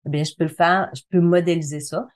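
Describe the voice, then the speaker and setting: Lower-voiced middle-aged Quebec French woman. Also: fairly even intonation, fast talker. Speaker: interview guest, communication consultant and trainer. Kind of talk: conversational interview, explaining her career.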